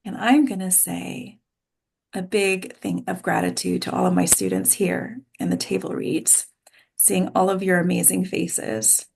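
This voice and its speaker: A woman's voice: fairly low pitch, steady tone, measured pace, vocal fry, a little mellow, breathy edge. A woman casually hosting an online group of actors as their coach, informal and encouraging as she guides them over a video call. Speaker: host and acting coach. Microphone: computer mic on a video call.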